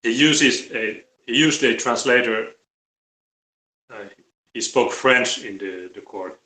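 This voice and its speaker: A man with a Finnish accent talking casually and bluntly on a live stream, halting and repeating his words. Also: medium pitch, sharp tone. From Finland. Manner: halting, repetitive, blunt with swearing. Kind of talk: casual opinionated live talk. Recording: roomy, echoey live-stream audio.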